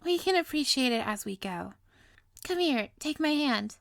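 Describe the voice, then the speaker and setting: A woman's voice: high-pitched, animated, normal speed, vocal fry, no echo, studio quality. A woman playing a sweet, playful, possessively lovestruck young witch in an intimate audio roleplay, with coy, doting, theatrical delivery. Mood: gleeful, proud.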